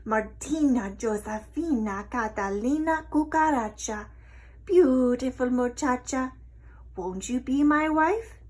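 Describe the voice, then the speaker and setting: A woman's voice: high voice, animated, takes it slowly, a little mellow, voice pushed up, exaggerated voice. A woman voicing a creepy, oily male lizard suitor in a storybook, slinking and hissing. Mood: pleading. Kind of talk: storybook character voice.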